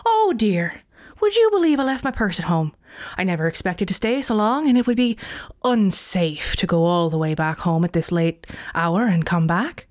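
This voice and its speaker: A smug, fast-talking trickster man, put on by a woman storyteller, coaxing and goading with a slippery, self-pleased charm. His voice sits higher than her own, sometimes dropping to a sly whisper. It is a close, dry storybook reading. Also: dry recording, natural voice. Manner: wheedling, goading. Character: sly silver-tongued male trickster, smug.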